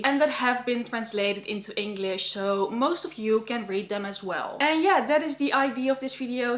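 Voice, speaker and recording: A woman's voice: a little high, fairly bright tone. Young Dutch woman recommending books, echoey room. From Netherlands. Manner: chatty, earnest, thinks aloud with "yeah" and "you know". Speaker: book vlogger. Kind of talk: casual book recommendations to camera.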